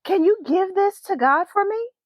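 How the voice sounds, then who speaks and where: A woman's voice: very high voice, lively intonation, moderate pace, dry recording, lo-fi sound, voice pushed up. A woman voicing an innocent, eager young girl in a children's storybook, earnest and wide-eyed. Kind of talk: children's storybook read-aloud.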